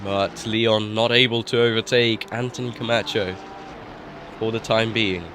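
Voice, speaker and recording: A man commentating a sim racing broadcast live, sounding nasal from a cold, rising in excitement at overtakes. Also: lowish voice, noisy background. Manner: excited rises at overtakes, quick asides. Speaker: race commentator.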